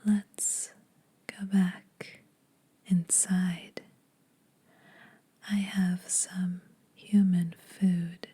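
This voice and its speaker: A woman acts out an ageless female vampire in an ASMR roleplay, teasing yet secretly kind to mortals. She speaks in a very breathy whisper, extremely soft and dark in tone. Her delivery is intimate and very slow, with little rise and fall. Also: mid-range voice, exaggerated voice.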